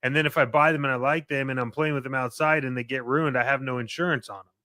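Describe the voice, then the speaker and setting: Man, American accent, medium pitch, sharp bright tone, informal podcast co-host, casual chat. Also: steady tone, fast talker. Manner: informal, peppered with "like" and "right?".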